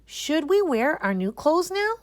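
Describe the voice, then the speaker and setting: A woman voicing excited, curious young children in a picture book read-aloud, bubbly and exclamatory. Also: high-pitched, unhurried, no room sound, voice pushed up.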